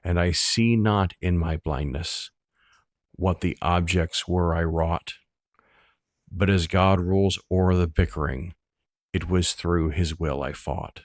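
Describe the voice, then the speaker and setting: A man with a very low voice reads verse slowly and solemnly. His phrasing is measured and deliberate, and the sound is close and dry, with no room around it. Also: steady tone, no echo. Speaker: poetry reader. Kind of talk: solemn poetry recitation.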